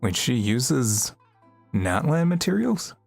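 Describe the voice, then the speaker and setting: A man's voice: mid-range voice, sing-song, slow, creaky, no echo. A man livestreaming a video game, chatting casually and musing aloud in a laid-back way. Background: game music.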